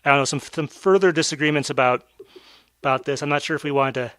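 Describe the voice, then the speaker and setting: A man hosting a casual podcast chat, his voice bright and forward. He rambles, circling back with plenty of ums and restarts. The sound is close and dry, with no room behind it. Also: a little high, fast talker, no echo. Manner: rambling with many fillers and restarts. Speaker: podcast host. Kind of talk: casual discussion.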